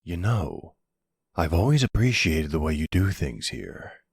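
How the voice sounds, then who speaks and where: A flirty, sardonic vampire played by a man in an ASMR audio drama. His voice is husky and full of gravelly fry, swinging expressively as he taunts his rival. The delivery is smug and teasing, laced with dry sarcasm. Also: low voice, big pitch swings, unhurried, dry recording, full character voice. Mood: smooth, polite.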